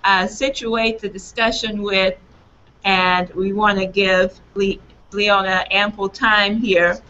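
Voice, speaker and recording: Woman, 40s, raspy from allergies, emphatic opener, unhurried delivery, lo-fi webcam sound. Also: mid-range voice, little pitch movement, sharp tone, a little hiss or hum. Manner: earnest, emphatic, laughs at own slips. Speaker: presenter introducing a talk. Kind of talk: political talk introduction, unscripted. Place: small office room, indoors. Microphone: webcam on a video call.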